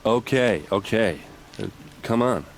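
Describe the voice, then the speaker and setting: A grieving young warrior in a video game. His voice sits low, earnest and pleading. Faint game music plays behind him. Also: lively intonation, unhurried.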